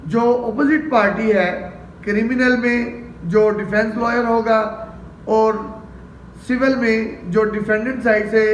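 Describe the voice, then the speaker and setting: High-pitched Pakistani man lecturing, roomy sound. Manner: didactic, poses questions and answers them. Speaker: law lecturer. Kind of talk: explanatory lecture. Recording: roomy, distant mic, faint noise.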